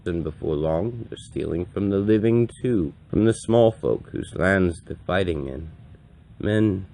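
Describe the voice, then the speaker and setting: Man acting as old wandering priest, low mellow voice, gravelly, solemn, mournful, compassionate, storybook monologue. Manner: slow, deliberate, solemn, with dramatic swells. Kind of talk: dramatic audiobook-style monologue reading.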